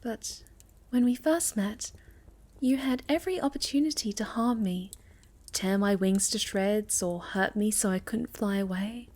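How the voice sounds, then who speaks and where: A woman playing a sweet, shy, gentle moth-girl monster in a soft, halting ASMR roleplay, often slipping toward a whisper. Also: mid-range voice, lightly acted. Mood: earnest, timid.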